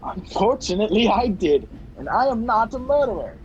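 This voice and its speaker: A man playing a polite, quick-witted fugitive wrongly on the run, in a radio play recorded over a video call. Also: sits high, very animated, darkish tone. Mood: indignant, wry. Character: hunted young man, polite, quick-talking, indignant fugitive. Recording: dull, noisy home video call.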